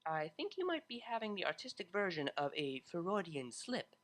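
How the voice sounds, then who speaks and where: A narrator voicing a tactful pony art teacher in an audiobook, speaking carefully and quietly with gentle hesitation. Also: mid-range voice, big pitch swings, moderate pace. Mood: hushed, tactful. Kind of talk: audiobook character voice.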